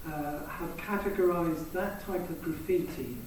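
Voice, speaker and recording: English councillor, hesitant, answering from the panel in a reverberant hall, picked up from afar. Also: male voice, on the high side, a little slow, darkish tone, a little hiss or hum. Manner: hesitant, searching for words. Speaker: town councillor. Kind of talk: answering questions at a public meeting. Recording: echoey hall, distant mic.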